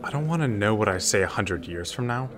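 Guy doing a grumpy teen boy, short flat answers, radio play. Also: fairly low pitch, a little fast, faint music behind. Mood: sullen. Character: sullen reluctant teenage boy student. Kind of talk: radio drama acting.

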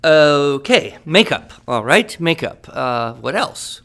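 A man's voice: on the high side, sing-song, takes it slowly, fairly bright tone. A man playing a writing teacher who leads a class in an upbeat, encouraging and wordy way. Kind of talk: scripted classroom radio drama.

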